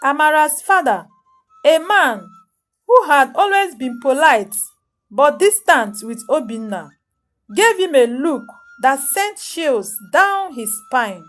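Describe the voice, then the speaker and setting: A woman narrating a scripted folktale as a storyteller, solemn and dramatic, in short deliberate phrases. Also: high-pitched, expressive, fairly bright tone. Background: music under the voice.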